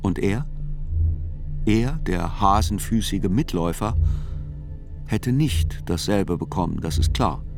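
Guy narrating a radio play reportage, lowish voice, measured and dry, music behind him.

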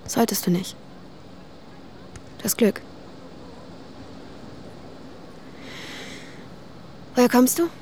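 Forthright teenage girl, highish voice. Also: animated, normal speed, dark tone. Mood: gently probing. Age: young adult. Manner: direct, questioning. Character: curious, forthright, gently teasing teenage girl. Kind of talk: naturalistic audio drama dialogue. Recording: outdoor ambience, faint traffic noise.

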